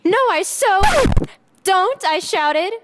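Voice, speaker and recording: A woman's voice: very high voice, slow pace, noisy background, pitched above the performer's own voice. A woman voicing a haughty, melodramatic seventeen-year-old goth vampire girl in a dramatic fan fiction reading, with emphatic teenage delivery. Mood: excited, indignant. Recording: echoey hall with long reverb.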